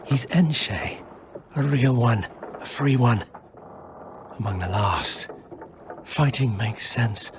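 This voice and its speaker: An actor playing a badly wounded, proud and bitter elf freedom fighter in a video game, weak with pain, halting, breathy and often near a whisper. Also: male voice, mid-range voice, noisy background, lightly acted. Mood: fervent. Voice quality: gravelly, breathy, falling into whispers. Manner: halting, defiant. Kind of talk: video game cutscene acting.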